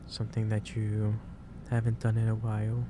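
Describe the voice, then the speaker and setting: Low-voiced, halting gentle boss played by a man. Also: monotone, natural voice. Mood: gently concerned. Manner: halting, repeats and restarts phrases. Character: gentle, caring office boss lecturing a tired worker. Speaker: ASMR roleplay performer. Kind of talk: soft-paced ASMR roleplay monologue. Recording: clearly noisy background hiss or ambience.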